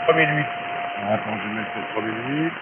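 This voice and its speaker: A man's voice: on the low side, fast. A man chatting casually as an amateur radio operator over a shortwave transmission. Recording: narrow-band shortwave radio with clear hiss.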